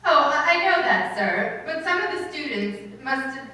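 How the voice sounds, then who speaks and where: A roomy, distant recording of a woman acting as a sardonic schoolteacher in a radio comedy. Her delivery is animated and bright, with dry wisecracks tucked under politeness. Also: high-pitched, a little slow, full character voice. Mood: flustered, covering. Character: wry, sardonic female high school English teacher. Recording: echoey room from far off, faint noise.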